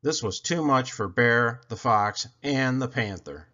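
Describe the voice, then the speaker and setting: A man's voice: medium pitch, measured pace, bright, forceful tone, dull audio. A man reading a children's story aloud expressively, leaning dramatically on key words. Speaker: storybook reader.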